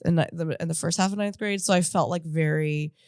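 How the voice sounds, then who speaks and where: A woman's voice: deep voice, steady tone, fairly quick, a little mellow, dry recording, clean studio sound. A woman in her thirties who grew up in Japan, a comedian guest telling stories in a rambling, self-interrupting way with frequent laughs. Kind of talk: casual podcast conversation. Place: in-studio podcast.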